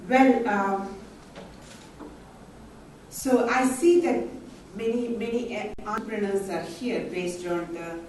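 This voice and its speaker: A woman's voice: mid-range voice, a little mellow, a little hiss or hum. A middle-aged woman from India, telling her story in earnest at a conference panel. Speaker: panel speaker. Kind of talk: conference talk. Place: large conference hall. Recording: very echoey hall from far off.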